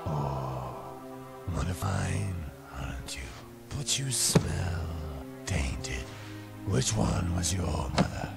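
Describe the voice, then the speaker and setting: An actor playing a cruel, imperious ancient vampire overlord in a game cutscene, drawling with condescending, theatrical menace. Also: male voice, sits low, sing-song, extremely slow, vocal fry, heavily acted. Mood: sinister, mocking. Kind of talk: video game cutscene acting. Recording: loud music under the voice.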